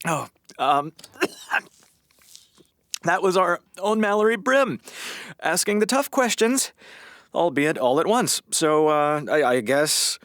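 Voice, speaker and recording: An actor playing a weary, sarcastic small-town radio host with a halting, dry delivery in a comedy audio drama. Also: male voice, a little high, big pitch swings, a bit bright, no room sound, exaggerated voice. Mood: awkward, flustered. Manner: halting, dry, sardonic, awkward.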